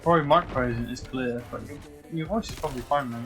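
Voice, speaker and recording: A young man streaming a game and chatting casually. He is excitable, swears freely and often restarts his sentences. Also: quick pace, over music. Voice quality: fairly high-pitched voice. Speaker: gaming streamer. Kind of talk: casual chat while gaming.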